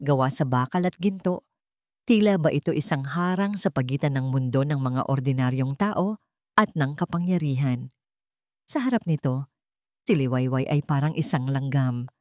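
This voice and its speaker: A woman's voice: rumbling low, lively intonation, normal speed, a little mellow, dry recording, clean studio sound. A woman narrating a scripted story in a solemn, dramatic storytelling manner.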